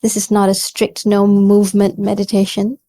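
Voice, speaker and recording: A woman leading a guided meditation in a gentle, calm and encouraging manner, leaving pauses between phrases. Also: mid-range voice, dry recording. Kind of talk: guided body scan meditation.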